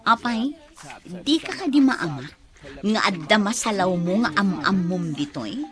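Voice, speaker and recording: A woman's voice: mid-range voice, big pitch swings, unhurried, a crowd in the background. An actress playing a chatty young woman's best friend who gives advice, in an Ilocano radio drama. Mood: questioning.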